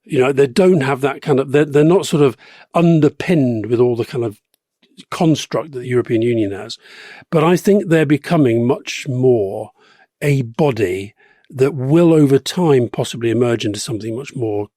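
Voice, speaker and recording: A man in his sixties, co-hosting a podcast, telling stories in an animated way with repeated phrases and laughs. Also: mid-range voice, moderate pace, dry recording, clean studio sound. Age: 60s. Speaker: podcast co-host. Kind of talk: casual political chat.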